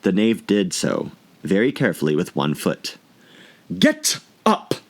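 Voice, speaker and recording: A man's voice: low-pitched, expressive, normal speed, a little mellow. A man reading a children's storybook aloud as scripted audiobook narration. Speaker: audiobook reader.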